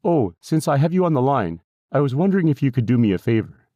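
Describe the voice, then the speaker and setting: A man's voice: mid-range voice, big pitch swings, fast talker, mellow, dry recording, voice pushed up, close to an everyday voice. A man playing an upbeat, friendly man on a phone call in an audiobook. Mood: cheerful.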